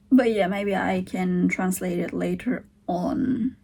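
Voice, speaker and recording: A young woman with a Turkish accent, a native Turkish speaker, hesitantly thinking aloud in a casual home vlog, sometimes dropping to a soft whisper. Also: medium pitch, slightly rough. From Turkey. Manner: hesitant, musing, drifts into soft whispered asides. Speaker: vlogger. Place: at home, sitting on the floor beside a heater.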